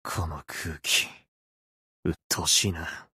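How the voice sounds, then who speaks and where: A man's voice: extremely low pitch, little pitch movement, takes it slowly, sharp tone, breathy edge, dry recording, studio quality, heavily acted. A man voicing an aloof, irritable young loner swordsman in a Japanese game, curt and unsociable. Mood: curt, annoyed. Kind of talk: Japanese game character voice lines.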